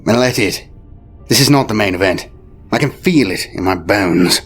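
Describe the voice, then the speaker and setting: A man acts out a commanding male admiral prince of a space navy, shrewd and battle-seasoned. The voice swings widely in pitch, with clipped declamatory phrases and weighty pauses, over music in a science fiction audio story. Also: animated, husky. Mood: shrewd, resolute. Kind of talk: dramatic audio story character. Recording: music behind the voice.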